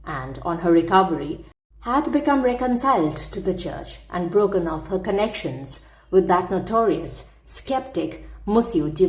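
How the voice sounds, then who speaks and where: A woman narrating a classic story as an audiobook, with measured, precise phrasing. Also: medium pitch, darkish tone. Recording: roomy, echoing sound.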